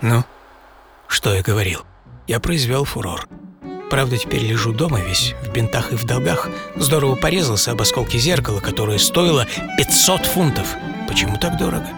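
An actor playing a vain, excitable young Belgian inventor in an audio play, theatrical and boastful, dropping French phrases into his monologue. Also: medium pitch, normal speed, vocal fry. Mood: rueful, cheerful. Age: 20s. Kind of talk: dramatized first-person monologue in an audio play. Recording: studio voice over music.

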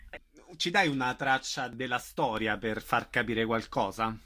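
A man's voice: mid-range voice, a little slow, sharp tone. A man interviewing guests on radio, asking casual, conversational questions.

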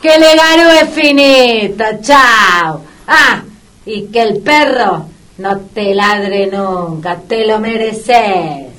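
A woman's voice: medium pitch, lively intonation, extremely slow, fairly bright tone, a little hiss or hum. An Argentine actress playing a hot-tempered, fed-up woman dog trainer in a comic radio drama, scolding dramatically. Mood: exasperated, indignant. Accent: Argentine Rioplatense.

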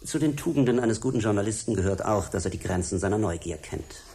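An actor playing a stern, threatening European secret service agent in a radio drama, curt and commanding. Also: male voice, lowish voice, animated, fast talker, dark tone, a little hiss or hum. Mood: cold, pressing. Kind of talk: German radio drama acting.

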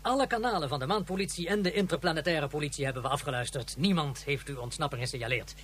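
An actor playing a respectful, formal and cautious spaceship officer who serves a ruler in a science fiction radio play. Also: male voice, on the high side, fast, a bit bright, a little hiss or hum, close to an everyday voice. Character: deferential male spaceship officer serving a ruler.

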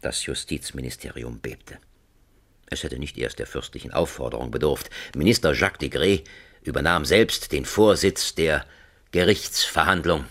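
A man's voice: a bass voice, moderate pace, a bit bright, full character voice. An actor narrating a satirical radio drama as a wry, dramatic storyteller who savours his words.

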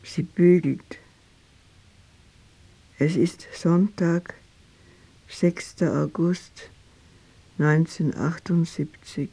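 An actress playing a grieving grown daughter in a literary radio play, reciting her memories in halting, deliberate phrases. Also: low voice, fairly even intonation, vocal fry, slightly rough, full character voice. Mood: stark, shaken. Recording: loud strings and brass over voice.

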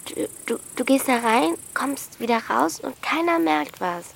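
A woman's voice: sits high, lively intonation, a little slow, gravelly, husky, exaggerated voice. An actress playing a bold, bossy thirteen-year-old girl in a radio play, blunt and protective of her friend. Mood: urgent coaxing. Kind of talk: German radio play acting.

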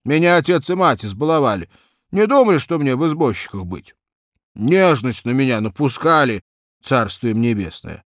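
A man's voice: mid-range voice, very animated, normal speed, dry recording, studio quality, close to an everyday voice. A man voicing a simple-hearted young peasant cabman with speech, rambling in a folksy, plaintive way for an audiobook. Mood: plaintive, nostalgic. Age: young adult. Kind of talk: audiobook character acting.

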